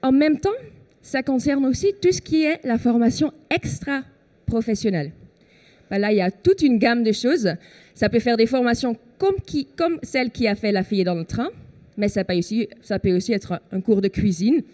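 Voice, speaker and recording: A woman from the Netherlands gives a conference presentation in French with a noticeable Dutch accent. Her voice sits a little high but sounds mellow and relaxed. She explains her points step by step, with frequent fillers and small restarts. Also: moderate pace. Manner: explanatory, with many fillers and self-corrections. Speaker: conference speaker. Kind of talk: presentation at a trade show.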